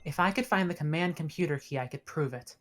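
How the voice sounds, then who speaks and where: Woman reading as a tough suspicious female space officer, low voice, sci-fi table read. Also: fast, lightly acted. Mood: insistent.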